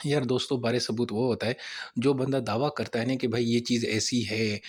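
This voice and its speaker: A man's voice: mid-range voice, fairly even intonation, normal speed, husky. A man with a Pakistani accent giving an informal explanatory law lecture in a conversational, step-by-step way. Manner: conversational, explains each point by rephrasing it.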